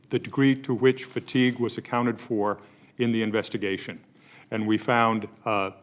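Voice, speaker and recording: A man testifying formally at a hearing, choosing his words in a measured, careful way. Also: medium pitch. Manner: measured, careful, precise wording. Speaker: oversight witness at a hearing.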